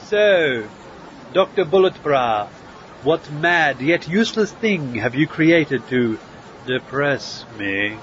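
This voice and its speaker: A man playing a jaded, condescending judge in an audio comedy, with a drawn-out, mock-polite, disdainful manner. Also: a little high, very animated, a bit bright, full character voice. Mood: bored disdain. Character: jaded, condescending male science fair judge. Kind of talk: comedic audio drama acting. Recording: dull, noisy ambience.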